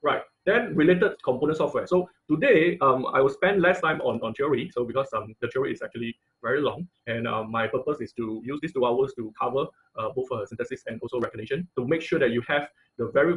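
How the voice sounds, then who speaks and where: A man giving an informal online technical lecture as the instructor, explaining things in a halting way over a video call. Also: medium pitch, fairly bright tone, poor audio.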